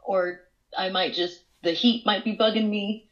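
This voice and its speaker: A woman's voice: medium pitch, steady tone, measured pace. A woman in her forties, chatting casually at home over a video call, a bit congested with a cold and given to long storytelling asides. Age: 40s. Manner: chatty, storytelling, laughs often. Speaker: co-host. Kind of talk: casual chat. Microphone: smart display video call. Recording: dull, lo-fi video call audio.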